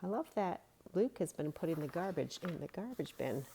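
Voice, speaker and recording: A woman reading a storybook aloud as a children's yoga teacher, giving simple, gentle guiding instructions. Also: on the low side, expressive, moderate pace, vocal fry, a little hiss or hum.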